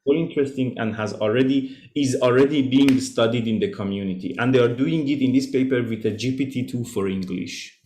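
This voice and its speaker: A man whose native language is Farsi, presenting his research at an online journal club and answering questions in a measured, explanatory way over a video call. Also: mid-range voice, mellow, echoey room. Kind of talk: academic journal club talk.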